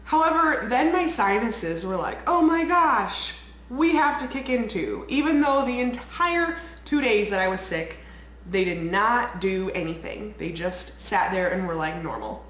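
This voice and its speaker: A woman's voice: mid-range voice, expressive, unhurried, fairly bright tone. A young woman vlogging in a casual, rambling way, a bit congested and nasal from a cold. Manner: bubbly, rambling, lots of "like". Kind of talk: casual chatty vlog. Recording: echoey room, faint ambient noise.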